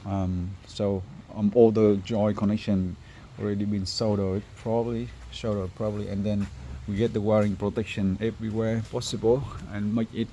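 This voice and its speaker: A man who is not a native English speaker, presenting a car installation job in an informal, halting walkthrough. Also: on the low side, level tone, mellow, slight background noise. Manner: halting, hesitant phrasing. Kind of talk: informal workshop walkthrough video.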